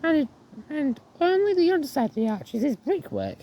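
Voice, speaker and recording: A woman with a croaky voice walking outdoors, chatting casually to her viewers and reading signs aloud with many restarts. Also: sits high, sing-song, warm tone, muffled recording, slight background noise. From England. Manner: rambling, restarts words, reads signs aloud haltingly. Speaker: vlogger. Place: outdoors on a viaduct.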